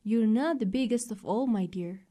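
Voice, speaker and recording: A woman's voice: fairly high pitch, moderate pace, mellow, natural voice. A woman voicing a playful, teasing cat in a children's story, coaxing and cheeky.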